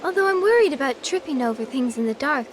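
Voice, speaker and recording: A very high, airy girlish voice as an eccentric schoolgirl in a video game. She is earnest and unhurried, and her pitch drifts expressively. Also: lively intonation, noisy background. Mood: dreamy, curious. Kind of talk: video game cutscene acting.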